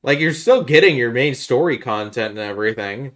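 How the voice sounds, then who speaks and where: A man's voice: mid-range voice, expressive, fairly quick. A man talking in a casual gaming podcast style as a co-host, arguing his opinions.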